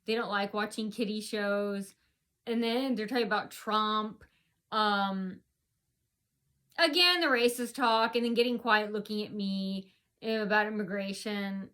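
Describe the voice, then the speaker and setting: A woman's voice: mid-range voice, steady tone, unhurried, sharp tone. A woman vlogger talking straight to her viewers in a long, rambling monologue, full of fillers and restarts.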